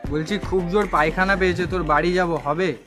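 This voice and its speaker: A high-voiced young man with a West Bengal accent hosts casual prank calls. He is cheeky and keeps pressing with a straight face, with clear music running behind him. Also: steady tone, fairly quick. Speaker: prank caller and video host. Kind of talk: casual prank phone call chat. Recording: loud music under the voice.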